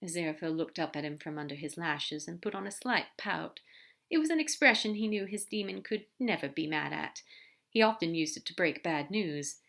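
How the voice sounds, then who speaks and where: A woman with a fairly low voice narrating a story aloud. Her reading is calm and measured, steady from line to line. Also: gravelly. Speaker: podfic reader. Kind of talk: reading fan fiction aloud.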